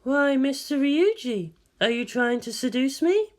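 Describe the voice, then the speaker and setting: A cool, serious woman scientist in a story, acted by a woman narrator. Her voice is raised in pitch, dry and detached, never amused. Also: expressive, unhurried. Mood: unamused.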